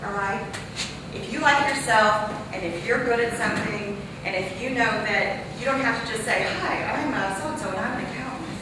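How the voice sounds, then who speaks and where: Loud woman in an echoey hall. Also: on the high side, moderate pace. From Jefferson City, Missouri. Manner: loud, animated, chatty. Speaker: conference speaker. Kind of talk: motivational talk. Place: large echoey conference hall. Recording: echoey hall, distant mic, noisy.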